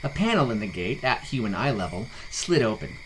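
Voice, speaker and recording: A man's voice: mid-range voice, big pitch swings, a little fast, fairly bright tone, a little hiss or hum, poor audio. A man narrating a scripted fantasy story as a podcast narrator, reading expressively. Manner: reads character dialogue expressively.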